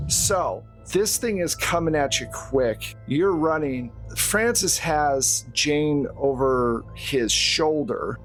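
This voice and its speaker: A man runs a tabletop horror game as its game master. He takes his time, speaking slowly in a mid-pitched voice. Music plays behind him.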